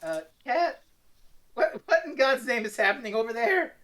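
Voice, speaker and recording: A woman's voice: on the high side, expressive, normal speed, fairly bright tone. A woman co-hosting a casual review podcast, bantering in a sardonic, playfully theatrical way. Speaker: podcast co-host.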